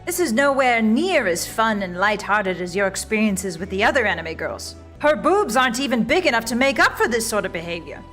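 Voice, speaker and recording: Female narrator for comic fantasy game: arch, theatrical, very expressive pitch, soft music behind. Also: fairly quick, few pauses. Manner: wry, arch, mock-grand storyteller. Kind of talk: theatrical comic visual-novel narration, second person.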